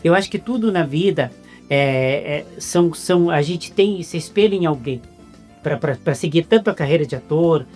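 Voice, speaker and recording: Halting Brazilian male interviewer. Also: on the high side, lively intonation, darkish tone, soft background music. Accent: Brazilian Portuguese. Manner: halting, repeats words while finding them. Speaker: interviewer host. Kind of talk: casual interview chat.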